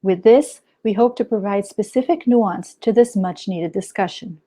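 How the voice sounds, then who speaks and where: A woman in her thirties, a doctoral student, giving a steady, formal reading of an academic talk. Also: mid-range voice, moderate pace. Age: 30s. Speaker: anthropology PhD student. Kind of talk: read academic conference talk.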